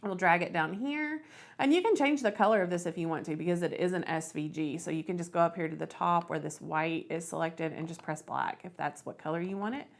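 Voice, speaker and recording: A woman hosting a casual step-by-step craft software tutorial, thinking aloud as she works. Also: lowish voice, a little fast, gravelly. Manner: thinks aloud while working.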